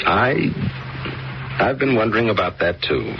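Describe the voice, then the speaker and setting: Middle aged dad, deep voice, patient and measured, muffled old radio drama with some hiss. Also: male voice, big pitch swings, gravelly, full character voice. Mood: measured, probing. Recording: dull, noisy old radio recording.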